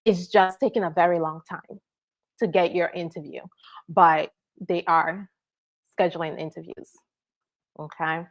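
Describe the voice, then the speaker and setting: A woman from Nigeria, working as an immigration attorney, answers viewer questions in a relaxed live Q&A and keeps checking in with "right?" and "all right?". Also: on the low side, measured pace, pauses often, highs cut off. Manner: explanatory, frequent "right?" and "all right?" check-ins.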